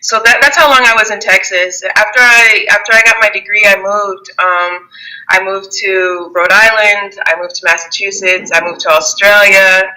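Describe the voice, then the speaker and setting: A woman's voice: mid-range voice, steady tone, normal speed, sharp tone, rough recording. A woman in her thirties who grew up in Germany and the United States, a Muay Thai fighter chatting as an interview guest, laughing often and restarting her sentences. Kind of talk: casual interview conversation.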